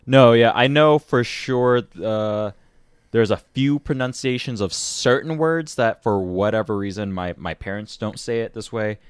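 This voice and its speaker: A man's voice: medium pitch, a little slow, clear, resonant tone, dry recording, clean studio sound. A man from Michigan with a slight northern Midwestern accent, co-hosting a review podcast in an easygoing way, often repeating words. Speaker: podcast co-host. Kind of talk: casual review chat.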